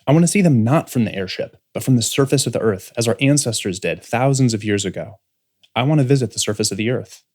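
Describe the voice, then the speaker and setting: A man's voice: mid-range voice, animated, fast talker, a little mellow, close to an everyday voice. A man playing an earnest, restless, rebellious grown son who speaks insistently in a full-cast science fiction audiobook. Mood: determined. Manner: insistent, intense, pleading.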